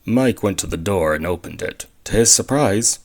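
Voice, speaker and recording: A man's voice: deep voice, expressive, moderate pace, creaky. A man narrating an audio drama in the third person.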